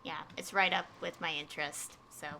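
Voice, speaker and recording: A woman's voice: on the low side, steady tone, normal speed, vocal fry, bright, forceful tone, low-quality recording. A woman game streamer chatting casually in a rambling, informal way into a headset. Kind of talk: casual livestream chat. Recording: faint background noise.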